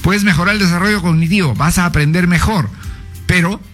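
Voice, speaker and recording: An older man, a former education minister speaking as a radio guest, explaining in a measured, professorial way and citing sources. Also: high-pitched, fairly bright tone, faint music behind.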